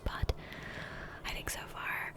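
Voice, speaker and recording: A woman speaking in a soft, breathy whisper close to the microphone, rambling casually and self-deprecatingly as an ASMR gaming creator. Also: slow. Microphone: close USB condenser microphone.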